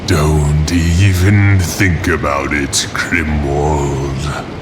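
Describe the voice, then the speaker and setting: An actor playing a menacing armored undead revenant, taunting in a slow, deliberate way in a dark fantasy audio drama. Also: male voice, a bass voice, flat delivery, exaggerated voice. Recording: dramatic music under the voice.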